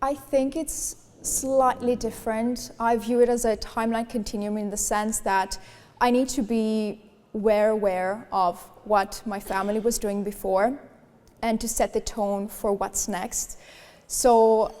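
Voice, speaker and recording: A woman's voice: a little high, steady tone, a little slow, darkish tone. Woman, young adult, poised, articulate, panelist, handheld mic, hall echo. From Greece. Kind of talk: panel discussion answers. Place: conference hall on stage. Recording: reverberant conference hall.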